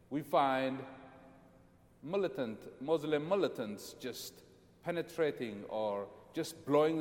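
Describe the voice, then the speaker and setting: Preacher from Ethiopia, a man with a high voice, emphatic, repetitive sermon phrasing, long reverb of a church hall. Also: darkish tone. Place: church sanctuary.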